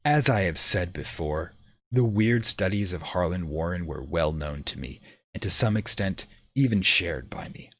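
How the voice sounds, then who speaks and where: A man's voice: sits low, expressive, moderate pace, fairly bright tone, no echo. A man playing a shaken, defensive witness giving formal, old-fashioned testimony in a dramatic radio play monologue. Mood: haunted, fearful.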